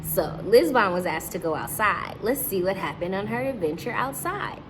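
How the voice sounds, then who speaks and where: A woman's voice: mid-range voice, expressive, moderate pace, background noise. A woman teacher reading a story aloud to young children, breaking off to question and explain things to her listeners.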